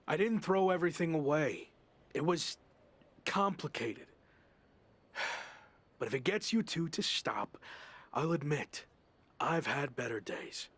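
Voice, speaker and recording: A man playing a stubborn, down-on-his-luck pro wrestler in a video game, husky-voiced, with a dry, weary, defensive manner. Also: a little high, animated, slow, long pauses, a bit bright, exaggerated voice. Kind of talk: video game cut-scene acting.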